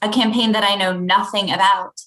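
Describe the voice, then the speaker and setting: A woman's voice: medium pitch, fairly even intonation, normal speed, sharp tone, lightly acted, low-quality recording. Woman playing skeptical, probing newspaper reporter. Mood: dry, irritated. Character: persistent, skeptical thirty-year-old woman newspaper reporter. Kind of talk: comedy script table read.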